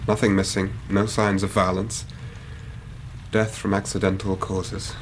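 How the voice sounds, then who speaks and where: An earnest, worried young Victorian Englishman in a radio drama. His voice sits on the low side and his speech is formal and precise, with faint noise behind it. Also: level tone, unhurried. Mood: earnest, grave. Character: anxious, earnest Victorian young man, grieving son. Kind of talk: radio drama acting, narrating his own story.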